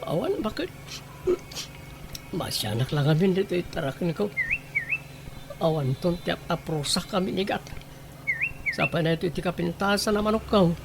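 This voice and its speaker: A man's voice: high voice, moderate pace, gravelly, pauses often, noisy background. A man playing an exasperated but doting husband who fusses and protests over his precious rooster, in a comedic radio drama. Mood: pleading, mournful. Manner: fussy, questioning, protesting.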